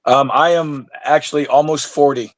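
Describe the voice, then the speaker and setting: A man's voice: a little high, steady tone, normal speed, no echo. A man of thirty-nine, a podcast guest in casual chat, blunt and swearing freely, stumbling over restarts. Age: 30s. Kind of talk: casual conversation.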